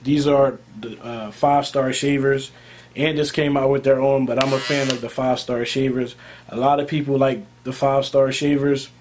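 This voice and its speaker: A man's voice: medium pitch, flat delivery, normal speed, darkish tone, a little hiss or hum. A young American man speaking informally and emphatically as a barber hosting a video, often repeating his key points. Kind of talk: casual product talk to camera.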